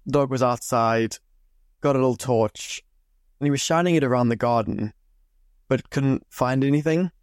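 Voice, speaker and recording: A man's voice: medium pitch, normal speed, pauses often, no room sound. A young man from Zimbabwe hosting a podcast, telling stories in a loose, chatty way and swearing freely into a close mic. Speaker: podcast host. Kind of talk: casual storytelling monologue.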